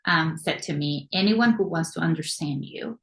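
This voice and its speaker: A woman from Mexico with a Mexican Spanish accent, a therapist talking reflectively in a panel discussion with frequent "um" pauses. Spanish is her first language. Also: deep voice, vocal fry. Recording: roomy echo.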